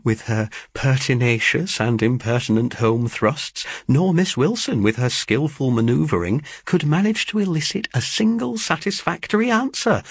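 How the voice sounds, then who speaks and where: An excitable young sister, played by a male reader in a classic storybook reading. The delivery swoops with gossipy emphasis over a slightly husky, dry-recorded voice. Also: a little high, no room sound, voice pushed up, heavily acted. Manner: chattering, breathless with gossip, emphatic. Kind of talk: dramatised audiobook reading of a classic novel.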